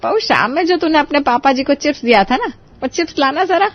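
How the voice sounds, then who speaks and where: A woman's voice: sits high, animated, a little fast, no echo, highs cut off. A woman playing a bossy, complaining, food-loving middle-aged mother-in-law in a story. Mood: demanding.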